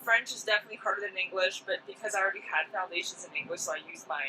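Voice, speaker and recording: Native mandarin speaker outside, quick, very bright voice, explains things thoughtfully. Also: mid-range voice, a little hiss or hum, poor audio. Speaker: interviewee.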